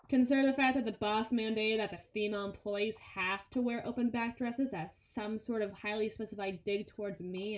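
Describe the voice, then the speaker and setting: A woman's voice: on the high side, lightly acted. A woman playing a brawny, sardonic henchwoman who grumbles and teases in an intimate audio roleplay, with a conversational, sarcastic delivery. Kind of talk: ASMR audio roleplay, intimate one-sided dialogue. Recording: roomy, echoing voice recording.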